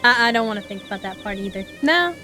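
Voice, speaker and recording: A woman's voice: mid-range voice, animated, fast talker, pushed and bright. A woman streamer casually commenting on a visual novel and reading its lines aloud. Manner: casual, playful asides. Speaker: streamer, VTuber. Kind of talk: live game commentary mixed with reading dialogue aloud. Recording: background game music.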